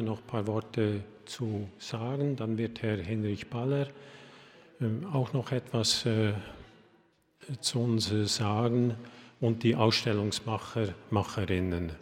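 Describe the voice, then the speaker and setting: An older man with a Swiss German accent gives a halting opening address, full of fillers and restarts as he checks his notes. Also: lowish voice. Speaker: architect giving an opening address. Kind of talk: hesitant welcome speech at an exhibition opening. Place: academy event hall. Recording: long hall reverb.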